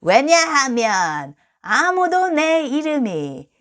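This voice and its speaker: A woman acts out a gleeful little fairy-tale man with a raised, swooping voice. He draws his words out slowly, boasting and taunting in singsong, as in a storybook read aloud. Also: sits high, big pitch swings, a bit bright, pitched above the performer's own voice, exaggerated voice. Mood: gleeful, boastful.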